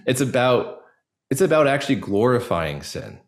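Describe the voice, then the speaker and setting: A man speaking casually and informally as an interview guest, in a halting way with many filler 'likes'. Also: mid-range voice, big pitch swings. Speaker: actor, podcast guest. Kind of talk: casual personal interview talk.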